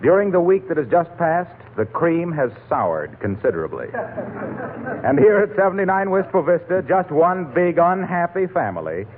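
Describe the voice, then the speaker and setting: Casting: male vintage radio announcer, polished pitchman, highish animated voice, tinny with faint hiss. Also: moderate pace. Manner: polished salesman's delivery. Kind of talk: 1940s radio announcing, scripted.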